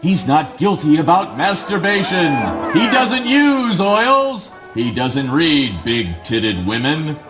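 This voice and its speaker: Freak-show presenter: exclamatory, high, very animated pitch, deliberate pace, stage-style audio drama. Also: male voice, music in the background. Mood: scandalized hawking. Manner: exclamatory, swooping sales pitch. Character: excitable male carnival barker presenting a freak. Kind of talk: theatrical spoken word audio play.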